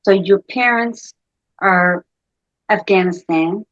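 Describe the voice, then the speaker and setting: A woman's voice: medium pitch, takes it slowly, dry recording. A woman hosting a podcast interview, greeting her guest effusively and asking about her background. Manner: warm, effusive welcomes. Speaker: podcast host. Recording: dry, dull lo-fi sound.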